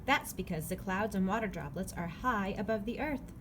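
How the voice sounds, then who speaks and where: A woman reading a children's picture book aloud, phrasing it clearly and simply for young listeners. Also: mid-range voice, animated, moderate pace. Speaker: read-aloud narrator. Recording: clearly noisy background ambience.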